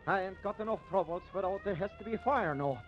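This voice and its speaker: Male actor as excitable folksy sheriff, high pitch, grumbling, exclamatory, 1950s radio drama, muffled noisy old recording. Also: fairly quick, natural voice. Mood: flustered, grumbling.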